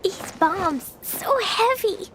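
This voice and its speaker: A woman's voice: very high voice, animated, drawn-out words, background noise, heavily acted. A woman voicing a cheerful, mischievous little girl in a video game, with a childlike, singsong way of talking. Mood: weary, straining. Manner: childlike, singsong, speaks of herself in third person. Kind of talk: video game character voice lines. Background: background music.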